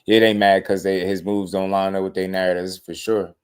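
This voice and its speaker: A man with a lowish voice hosts a casual boxing talk, loose and slangy. He gets animated and laughs easily as he riffs. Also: brisk, clean tone. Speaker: boxing podcast host.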